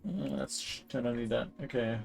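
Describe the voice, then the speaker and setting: A man casually commenting on a live game stream, rambling as he thinks out loud. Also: medium pitch, flat delivery, a little fast, creaky, faint music behind.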